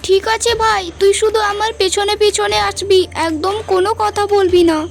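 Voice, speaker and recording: A woman's voice: very high-pitched, fairly even intonation, moderate pace, vocal fry, faint music behind. A woman voicing a brave, protective young elder sister in a fairy-tale cartoon, speaking in an earnest, imploring manner. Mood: determined, reassuring.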